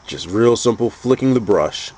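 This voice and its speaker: A man's voice: on the low side, normal speed. Stuffy-voiced man teaching figure painting. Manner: calm, methodical, with frequent restarts. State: stuffy, congested from a cold. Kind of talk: painting tutorial narration.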